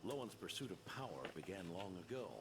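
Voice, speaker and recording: An actor playing an aging, shrewd and kindly knight commander in an anime video game, speaking in a measured, reflective way. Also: male voice, deep voice, animated, a crowd in the background. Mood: grave.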